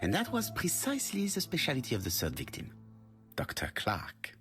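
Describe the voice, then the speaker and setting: A man's voice: mid-range voice, huge pitch swings, moderate pace, a bit bright, soft background music. A man voicing a courteous, self-assured middle-aged detective with a French-speaking Belgian accent, formal and precise. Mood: courteous, brisk. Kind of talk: video game dialogue.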